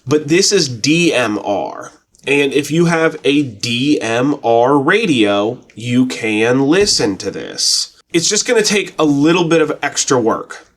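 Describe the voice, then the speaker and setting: A man with a mid-pitched voice talks through a how-to in a relaxed, informal register. His delivery is folksy and plainspoken, like a tutorial presenter explaining practical steps in everyday words. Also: takes it slowly. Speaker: presenter of a radio tutorial channel. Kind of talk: casual explanatory tutorial talk.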